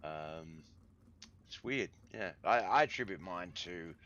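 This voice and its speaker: An Australian man doing relaxed play-by-play commentary on a live-stream sim race, reading out lap times, with a hoarse, congested voice. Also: sits low, slow pace, dull audio. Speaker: sim racing league commentator.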